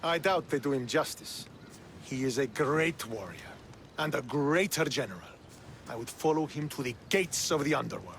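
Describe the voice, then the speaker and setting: A man's voice: medium pitch, expressive, normal speed, gravelly, fairly bright tone, a little hiss or hum. A man playing a proud, disciplined Spartan officer in a video game, formal and commanding. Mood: proud, devoted. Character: proud, disciplined young Spartan officer devoted to his adoptive father. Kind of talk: video game cutscene acting.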